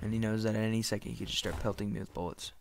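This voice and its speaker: A teenage boy with a nasal, scratchy voice from being sick, commenting on his gameplay in a casual, animated, rambling way. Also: on the low side, racing. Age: teens. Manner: animated, rambling, breaks into shouting and muttering. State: sick, congested voice with cracks. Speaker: gaming YouTuber. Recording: clear background noise, clicks.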